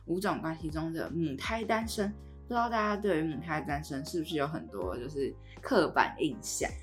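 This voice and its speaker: A woman with a Taiwanese accent and a fairly low voice hosts a podcast. She presents in a conversational style, with faint music playing underneath. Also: expressive, moderate pace. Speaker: podcast host.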